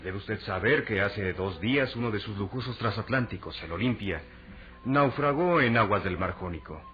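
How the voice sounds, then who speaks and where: A man acting a courteous, formal ambassador in a radio serial, speaking in a measured way. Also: on the low side, close to an everyday voice. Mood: grave, informative. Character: courteous, formal male ambassador, confidant of the hero. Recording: dull radio-drama recording with music underneath.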